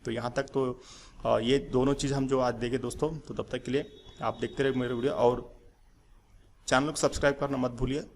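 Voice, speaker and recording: Male teacher, gravelly, rambling slide lesson, faint room hum. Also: medium pitch, level tone, brisk. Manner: rambling with many fillers and restarts. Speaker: English teacher.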